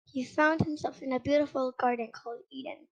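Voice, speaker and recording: A female reader with a high voice reading a children's Bible story aloud, halting now and then over words. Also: fairly even intonation, lo-fi sound.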